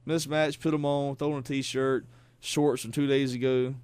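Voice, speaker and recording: A man's voice: mid-range voice, clear, resonant tone, no echo. A man from south Georgia with a Southern accent, co-hosting a casual talk show and exclaiming as he teases his co-host. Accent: Southern American. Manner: animated, teasing, quick to exclaim. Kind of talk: casual chat.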